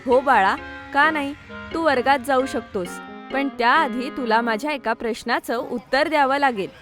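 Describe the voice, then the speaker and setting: A woman's voice: high-pitched, normal speed, music in the background, natural voice. A woman playing a kindly, patient female schoolteacher in a children's cartoon. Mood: kind, persuasive. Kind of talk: Marathi children's cartoon dialogue.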